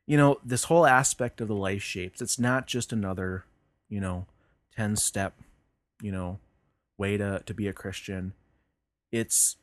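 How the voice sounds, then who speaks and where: A man's voice: fairly low pitch, no room sound. A man hosting a podcast, talking earnestly and reflectively about faith, with frequent restarts and fillers. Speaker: podcast host.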